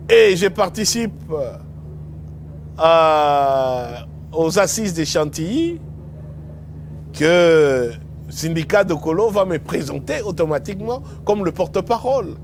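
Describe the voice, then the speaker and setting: A middle-aged man reminisces slowly, pausing and restarting as memories come back. His voice sits a little high for a man. A faint hum of background noise runs underneath. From DR Congo. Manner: unhurried, reminiscing with pauses and restarts. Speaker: lawyer and former movement spokesperson. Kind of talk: reflective storytelling.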